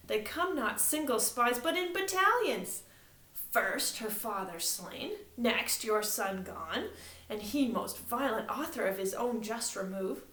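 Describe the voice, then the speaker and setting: A woman's voice: fairly bright tone, reverberant. A woman reading a brooding, scheming king. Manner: measured, brooding, lowered and heavy. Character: troubled, scheming middle-aged male king.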